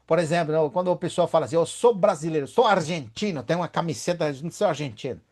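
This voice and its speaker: A man's voice: high voice, darkish tone. A man leading a Bible study, emphatic and often asking his listeners for agreement.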